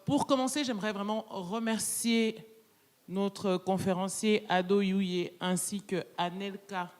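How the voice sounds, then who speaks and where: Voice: mid-range voice, steady tone. Moderator wrapping up a conference in a hall. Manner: hurried, hesitant with fillers. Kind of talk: closing remarks. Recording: long hall reverb.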